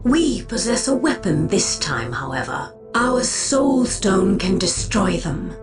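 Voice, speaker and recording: An ancient, solemn seer of an alien race, for a video game. She speaks at a medium pitch in a lofty, measured way, with music clearly behind her. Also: sing-song. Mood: grave warning. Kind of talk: video game cutscene acting.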